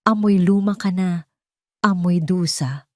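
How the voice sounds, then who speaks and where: A woman's voice: fairly low pitch, slow, no echo, studio quality. A woman voicing a haughty, spiteful mistress who taunts and draws out her jeers, in an audio drama. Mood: mocking, spiteful.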